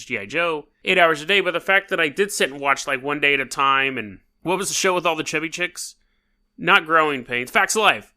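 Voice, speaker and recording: Male podcast host, 30s, bright and fairly high voice, a touch hoarse from a cold, chatty and rambling. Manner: chatty, rambling and self-amused. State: slightly hoarse from a head cold. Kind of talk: casual solo podcast monologue.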